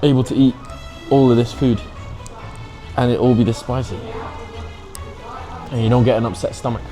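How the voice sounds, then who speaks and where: A man's voice: mid-range voice, steady tone, moderate pace, music in the background. A young man in his twenties with an English accent, chatting casually as he eats in a restaurant and mixing in some Mandarin. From grew up in China, lives in England. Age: 20s. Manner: chatty and self-mocking, switching between English and Mandarin. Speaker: food vlogger. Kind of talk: casual food vlog narration. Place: restaurant table by a window at night, indoors. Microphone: camera on the table facing him.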